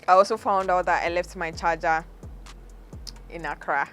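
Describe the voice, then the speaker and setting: A young woman with a Ghanaian accent tells a personal travel story on her podcast, laughing often along the way. Her voice sits a little low. Soft music plays faintly behind her. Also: steady tone, moderate pace. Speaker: podcast host. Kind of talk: casual storytelling.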